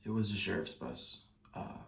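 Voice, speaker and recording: A man performing a stage monologue as a shaken, bitter trial juror, speaking haltingly like someone giving testimony. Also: low voice, lively intonation, creaky. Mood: subdued, haunted. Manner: halting, with pauses and repeated phrases. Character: shaken male trial juror, hounded, guilt-ridden and bitter. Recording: roomy echo, distant mic.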